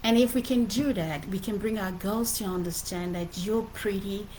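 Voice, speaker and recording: A woman's voice: mid-range voice, normal speed, warm tone. Earnest Cameroonian-accented woman, noisy recording. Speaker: author presenting her book. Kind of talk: informal motivational livestream talk.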